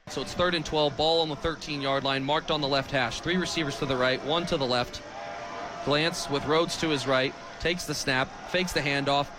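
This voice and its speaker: A man in his twenties calling live American football on radio as play-by-play announcer, with an animated, excitable delivery. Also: high voice. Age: 20s. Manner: animated, builds from clipped calls to excited shouting. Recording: stadium crowd behind the commentary. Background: stadium crowd.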